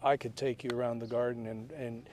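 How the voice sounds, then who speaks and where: Male grower, interview, mellow and plainspoken, outdoors with faint hiss. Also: medium pitch, level tone, brisk. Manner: plainspoken, matter-of-fact explaining. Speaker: daylily grower. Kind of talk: casual explanatory interview answers. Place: outdoor garden.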